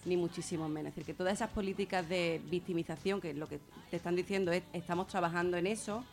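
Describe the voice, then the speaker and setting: A woman's voice: on the low side, steady tone, fast talker, soft background music. A woman with an accent from Spain explains things in a radio discussion, earnestly and with frequent rephrasing. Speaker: guest speaker on gender violence.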